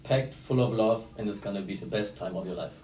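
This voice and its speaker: A man's voice: fairly low pitch, fairly quick, warm tone. A man in his twenties from Germany, a native German speaker, chatting casually as a student guest. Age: 20s. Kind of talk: casual chat. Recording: echoey room, distant mic, faint noise.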